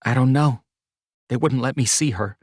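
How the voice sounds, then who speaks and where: Keep her soft and breathy, lifted well above your own register, a young woman who is emotional but quick to turn playful, with dry, close sound and no room around her; you're a man putting her on. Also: mid-range voice, no room sound, pitched above the performer's own voice, lightly acted. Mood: upset.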